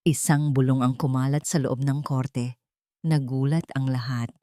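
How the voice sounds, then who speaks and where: A woman reading a scripted first-person dramatic story in a measured, expressive way. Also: very deep voice, no room sound, clean studio sound. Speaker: audio story narrator.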